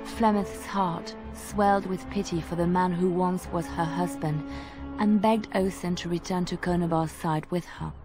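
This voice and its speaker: An actress playing a storyteller, narrating a dark old legend in a measured, literary way for a fantasy game. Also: female voice, medium pitch, natural voice. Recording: faint music under the voice.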